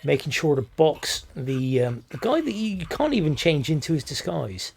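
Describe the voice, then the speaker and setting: Hoarse guy shoutcasting, gravelly and a bit high, hyped and sweary. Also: moderate pace. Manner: energetic, swears freely, laughs often. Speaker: esports shoutcaster. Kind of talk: excited game commentary.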